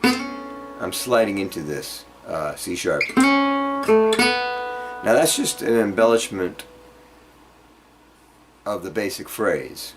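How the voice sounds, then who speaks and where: A man giving an informal guitar lesson, explaining haltingly and checking in with the listener as he demonstrates phrases. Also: sits low, animated, rough recording. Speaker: guitar teacher. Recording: loud acoustic guitar alongside the voice. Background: guitar being played between phrases.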